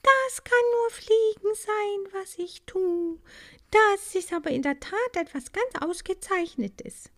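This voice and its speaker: A woman's voice: slow pace, hardly any pauses, exaggerated voice. Woman voicing a tiny, thrilled young bee. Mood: exhilarated. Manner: high, excited exclamations. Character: little young female bee, eager, dazzled.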